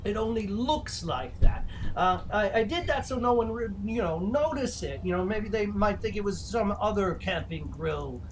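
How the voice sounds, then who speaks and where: A performer voicing a deluded mad-scientist villain who calls himself a genius, rambling comically and haltingly as a show host, prone to cackles. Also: medium pitch, lively intonation, a little hiss or hum, close to an everyday voice, low-quality recording. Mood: gloating, rambling. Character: gleefully deluded mad-scientist villain, self-proclaimed genius bent on revenge. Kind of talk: rambling comic in-character monologue.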